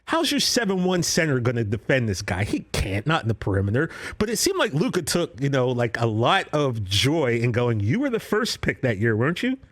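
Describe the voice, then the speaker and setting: A man co-hosting a sports podcast, telling animated stories in casual banter. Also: medium pitch, brisk, hardly any pauses. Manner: animated storyteller, trash-talking banter. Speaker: podcast co-host.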